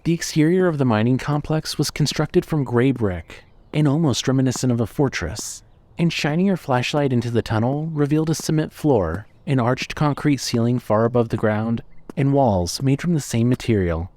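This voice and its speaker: A man's voice: medium pitch, animated, normal speed, darkish tone, no echo. A man reading scripted fiction as the narrator of an audio story.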